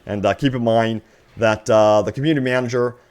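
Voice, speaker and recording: A man's voice: medium pitch, fairly even intonation, brisk, a little mellow, clean tone. A man hosting a gaming news video in an upbeat, enthusiastic fan commentary style, stretching words for emphasis and addressing his viewers directly.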